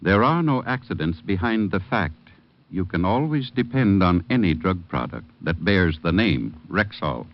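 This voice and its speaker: Deep-voiced radio druggist. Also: male voice, very animated. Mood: assured, reassuring. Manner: explanatory, measured, formal. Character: courteous, assured male family druggist. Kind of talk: 1940s radio commercial pitch. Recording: muffled old radio recording, faint noise.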